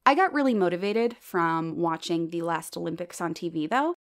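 A woman's voice: on the low side, animated, normal speed. A woman playing a self-deprecating stand-up comedienne in an audio drama, with a wry delivery built around punchlines. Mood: wry, joking. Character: self-deprecating plus-size female stand-up comedienne named Eleanor.

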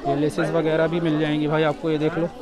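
A man's voice: on the high side, completely flat delivery, fast. A man giving a casual market tour as a vlogger, often repeating himself and addressing viewers as brother, recorded outdoors in a street market. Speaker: vlogger and host. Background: crowd chatter.

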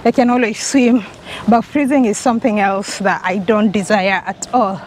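A woman's voice: medium pitch, fairly even intonation, moderate pace, a little hiss or hum, low-quality recording. A young Kenyan woman with a Kenyan accent, chatting to an action camera held at arm's length as she walks a sunny residential street. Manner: chatty, enthusiastic, talking to the camera while walking. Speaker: travel vlogger. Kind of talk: walking travel vlog.

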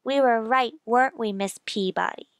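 A woman acts out an eerie young child in a suspense radio play. The voice is fairly high, clear and coolly polite. It is recorded close and dry. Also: normal speed, dry recording, natural voice. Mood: eerily calm. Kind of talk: old-time radio suspense acting.

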